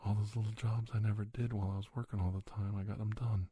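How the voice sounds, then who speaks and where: A middle-aged man giving soft-spoken, encouraging, folksy advice in an ASMR role-play, often slipping into a whisper. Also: on the low side, flat delivery, fast, breathy edge, no echo. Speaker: podcast host playing an advice-giving doctor. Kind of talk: ASMR, one-sided role-play conversation.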